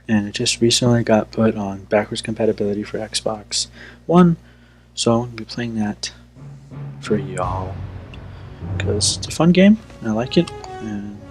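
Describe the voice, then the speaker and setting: A man giving casual, informal game commentary with a self-deprecating manner. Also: on the low side. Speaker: video game player and host. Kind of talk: casual live play-through commentary. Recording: clearly noisy home recording with hum.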